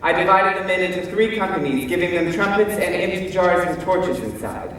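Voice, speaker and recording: A reverberant, distant hall recording with faint noise carries a male actor as a biblical warrior giving a stage monologue. His voice is high, theatrical and emphatic. He is fearful and doubts himself, with deliberate pauses and hesitations. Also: lively intonation, fairly bright tone. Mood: rousing.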